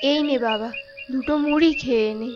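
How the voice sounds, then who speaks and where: A woman's voice: high voice, a little fast, noisy background, close to an everyday voice. A woman voicing a needy, wheedling middle-aged mother of a grown son in a cartoon. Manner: wheedling, complaining. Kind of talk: cartoon voice acting. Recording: dull lo-fi track with music behind.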